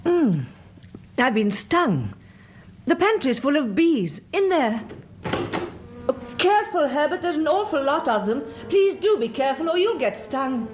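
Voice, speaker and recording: An actress playing a genteel, aggrieved and anxious tenant in a radio drama, prim and earnest, with a British accent. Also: female voice, high-pitched, very animated, measured pace, noisy background. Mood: flustered.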